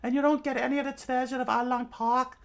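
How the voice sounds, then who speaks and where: A man voicing a fiery young woman navigator who sounds high, bossy and excited. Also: fast, gravelly. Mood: commanding.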